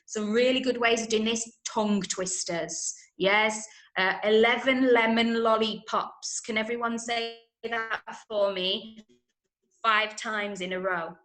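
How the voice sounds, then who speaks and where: A woman with an English accent teaching an online drama workshop, enunciating clearly and coaching with encouragement. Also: fairly high pitch, a bit bright. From Manchester, England. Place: indoor room, online video call. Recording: roomy, echoing video-call sound.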